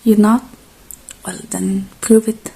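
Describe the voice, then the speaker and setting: Slow, soft-spoken woman whispering, slightly creaky. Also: mid-range voice, flat delivery. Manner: gentle, unhurried, murmuring to the listener. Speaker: ASMR creator playing a robot repair technician.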